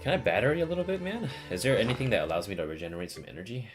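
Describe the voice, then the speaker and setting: A man giving casual, profane and excitable commentary while he plays a video game. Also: on the low side, racing, over music. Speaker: game streamer.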